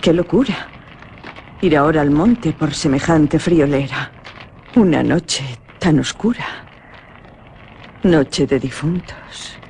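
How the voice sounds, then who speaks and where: A woman's voice: low-pitched, slow, pauses often, a little mellow, slight background noise. An actress with a Spanish accent from Spain playing a playful, coolly ironic young noblewoman in a radio drama. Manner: playful, mocking.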